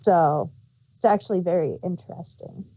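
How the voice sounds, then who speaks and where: A woman co-hosting a casual review podcast, speaking in a halting way and often restarting her sentences. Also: fairly low pitch, mellow. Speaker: podcast co-host reviewing audio dramas. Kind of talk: casual unscripted chat. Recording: dull, dry podcast microphone.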